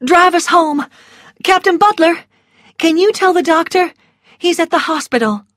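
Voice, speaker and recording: Woman voicing a high-pitched, gentle young lady. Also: measured pace, dry recording, studio quality. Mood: urgent, firm. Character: gentle, caring, firm young married lady.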